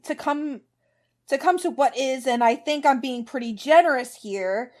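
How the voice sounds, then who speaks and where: A woman reading the part of a sarcastic, exasperated man in a story who is barely keeping calm, with emphatic delivery. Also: high voice, unhurried. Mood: sarcastic complaint.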